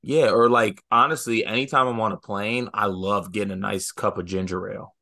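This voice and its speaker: Easygoing low-voiced podcast co-host man. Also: fairly even intonation, fairly quick, vocal fry, bright, forceful tone. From Michigan. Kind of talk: casual sports podcast banter.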